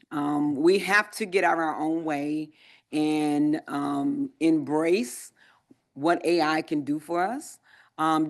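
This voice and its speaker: A man presenting at a conference, answering audience questions in a measured way with frequent pauses and ums. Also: on the high side, fairly bright tone. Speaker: conference presenter, Air Force technology leader.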